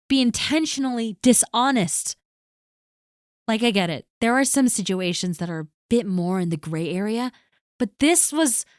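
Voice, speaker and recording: Woman, gentle earnest comforting tone, slow pace, dry close recording. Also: on the high side, dry recording. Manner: gentle, earnest reassurance spoken directly to the listener. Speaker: audio roleplay performer. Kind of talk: scripted audio roleplay, comforting a listener.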